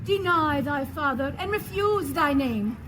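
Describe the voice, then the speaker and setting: A woman's voice: high-pitched, animated, measured pace. A woman performing as a dramatic, declaiming heroine in a comic stage play. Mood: yearning, theatrical. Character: dream woman playing a swooning Juliet and a pestering student. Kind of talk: theatrical one-act stage comedy acting. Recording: noisy live stage recording.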